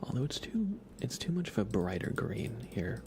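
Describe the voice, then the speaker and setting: A man livestreaming a game in ASMR style, softly whispering in a relaxed, casual way as he thinks aloud and chats with viewers. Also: medium pitch, normal speed, noisy background. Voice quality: soft, breathy whisper.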